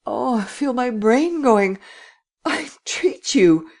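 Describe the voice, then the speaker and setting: A man's voice: fairly high pitch, very animated, normal speed, warm tone. A man voicing a terrified, despairing young poet in an audiobook, stammering and pleading in anguish. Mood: frantic pleading. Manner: anguished, stammering, gasping pleas. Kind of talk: dramatic audiobook character acting.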